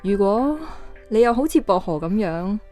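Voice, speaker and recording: A young actress playing a gentle woman haunted by lost love in a radio drama, with a Hong Kong Cantonese accent. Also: mid-range voice, lively intonation, moderate pace, soft background music, natural voice. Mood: troubled, hesitant. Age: young adult.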